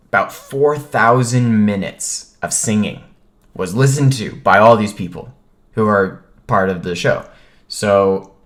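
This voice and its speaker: Male vlogger, American accent, low-pitched voice, informal first-person storytelling with clipped phrasing. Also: a bit bright.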